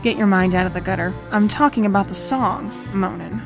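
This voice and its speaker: A woman's voice: mid-range voice, brisk, gravelly, natural voice. A woman voicing a bookish pony who dreams of writing novels, in an audio drama. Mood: hard-boiled, menacing. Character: bookish filly pony, later a brash aspiring novelist. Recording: music behind the voice.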